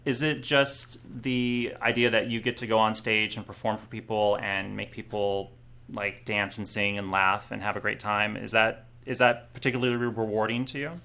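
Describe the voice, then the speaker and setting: A man's voice: on the low side, flat delivery, normal speed, pushed and bright. A man hosting a live studio interview, asking loose, chatty questions and teasing his guest. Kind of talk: casual interview chat.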